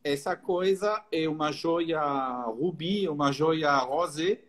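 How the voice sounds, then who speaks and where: A man's voice: fairly high pitch, takes it slowly. A middle-aged man from France with a French accent, a guest enologist explaining things in a friendly way, with many restarts, on a live video call from home. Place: home room, indoors. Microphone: video call on laptop.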